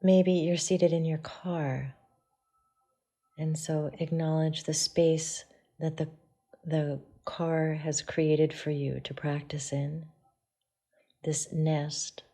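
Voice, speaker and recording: A woman teaching yoga meditation, guiding listeners in a soft, hushed delivery with pauses. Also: low voice, level tone. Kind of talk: guided meditation.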